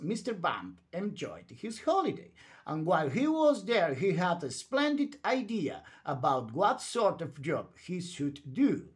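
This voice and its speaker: Man, children's storyteller, theatrical read-aloud, slow pace, swooping expressive intonation. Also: high-pitched. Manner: theatrical, emphatic delivery for young children. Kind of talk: animated bedtime read-aloud narration.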